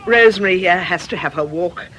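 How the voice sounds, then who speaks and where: A woman playing a courteous, gently sceptical religious sister in a radio drama, polite and questioning, on a noisy recording. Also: lowish voice, a little fast, soft background music, close to an everyday voice. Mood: polite, interested.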